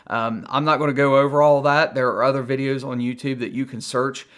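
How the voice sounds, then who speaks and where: A man's voice: mid-range voice, steady tone, brisk, a bit bright. A middle-aged man with an American accent gives a casual, conversational product review to camera as the host. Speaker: gadget reviewer and video host.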